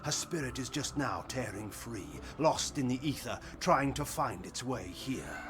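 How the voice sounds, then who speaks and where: A man playing an imperious, cynical vampire lord in a video game, delivering measured, archaic, theatrical speeches. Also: medium pitch, expressive, lightly acted. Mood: grave, portentous. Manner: grandiloquent, measured, archaic rhetoric. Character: imperious male vampire lord, cynical, cunning, grandly fatalistic. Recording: music behind the voice.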